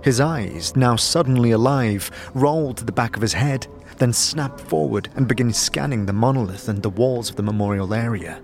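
A man narrating a fantasy audio drama in the second person, with a measured, descriptive storytelling delivery. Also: medium pitch. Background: background music.

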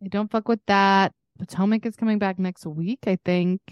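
A woman's voice: mid-range voice, fairly even intonation, normal speed, darkish tone, smooth, no echo, dull audio. A woman co-hosting a podcast in a chatty, informal, casual style. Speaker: podcast co-host. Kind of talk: casual chat.

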